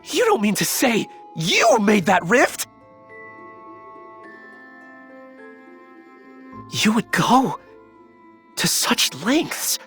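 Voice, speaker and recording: A woman's voice: on the high side, wildly swooping pitch, slow, fairly bright tone, heavily acted. A woman playing a cynical, sly scientist in an anime video game, speaking in a wry, condescending way. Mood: astonished. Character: cynical female mad scientist, sly and weary. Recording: music behind the voice.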